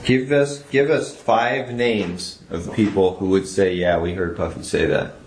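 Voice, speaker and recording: A man's voice: low-pitched, a little slow, darkish tone, a little hiss or hum. An American man working as a police interrogator, asking questions in a casual investigative way. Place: indoor police interrogation room. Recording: echoey police interview room recording.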